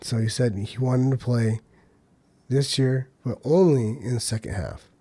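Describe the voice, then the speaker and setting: A man's voice: mid-range voice, measured pace, warm tone, husky, no room sound. A man hosting a podcast, talking through the week's news in a loose, conversational way with many ums and uhs. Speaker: podcast host. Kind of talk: solo casual commentary.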